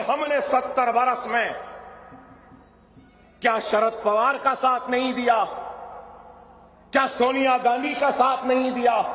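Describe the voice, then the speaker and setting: Fiery high-voiced man orating. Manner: impassioned, rhetorical questions, rising to shouts. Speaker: political speaker. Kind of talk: fiery political rally speech. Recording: echoey hall sound, dull lo-fi.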